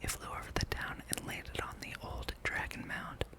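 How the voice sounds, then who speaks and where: A person speaking in a breathy, unvoiced whisper, giving rambling, playful ASMR commentary while playing a video game. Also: moderate pace. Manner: hushed, playful musing, reads game dialogue aloud. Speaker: gameplay streamer.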